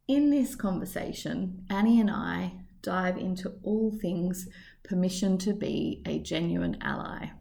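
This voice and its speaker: Gravelly low-voiced woman podcast host. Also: darkish tone, a little hiss or hum. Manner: upbeat, enthusiastic delivery. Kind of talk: scripted podcast intro, then interview.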